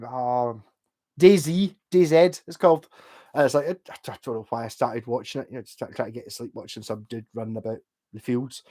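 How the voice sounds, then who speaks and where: A man's voice: medium pitch, a little mellow. Rambling Glaswegian man on a livestream. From Glasgow, Scotland. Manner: rambling, sweary, reading chat aloud. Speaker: livestream host. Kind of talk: casual chat.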